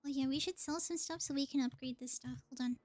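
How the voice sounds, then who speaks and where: High-voiced woman chatting while gaming. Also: steady tone, fast talker, darkish tone, dry recording. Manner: chatty, thinking aloud, giggly. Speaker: live streamer playing a video game. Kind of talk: casual live game commentary.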